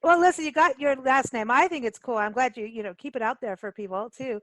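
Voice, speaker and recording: A woman with a fairly high voice hosts a chatty interview show. She is effusive and tends to double up words for emphasis. The sound is very dry, with no room around it. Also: brisk, no echo, rough recording. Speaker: radio show co-host and travel publisher.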